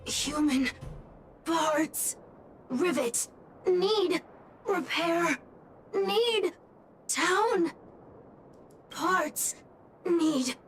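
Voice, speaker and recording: A woman voicing a tiny, timid scrap-metal robot in a video game, pleading haltingly one word at a time. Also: very high voice, lively intonation, far from the mic, slight background noise, full character voice.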